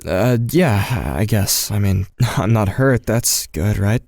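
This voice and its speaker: A man's voice: on the low side, lively intonation, slow pace, gravelly, darkish tone, no echo, clean studio sound, exaggerated voice. A man playing an anxious, shy teenage boy who narrates his own story in an audio drama. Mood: anxious, subdued. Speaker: narrator and lead actor.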